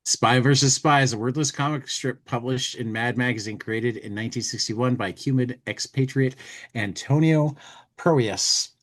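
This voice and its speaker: A man's voice: medium pitch, moderate pace. A man hosting a podcast, chatting casually and reading comic lines aloud in a playful, dramatic way. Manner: acts out speech-bubble lines playfully. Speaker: podcast host reading an old humor magazine aloud.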